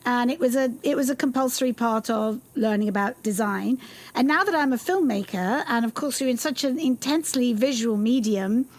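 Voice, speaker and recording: A woman hosts a podcast, interviewing a guest with open enthusiasm. Her voice sits a bit high. She chats easily and shares her own experience in film. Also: normal speed. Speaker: podcast host, filmmaker. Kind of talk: conversational interview.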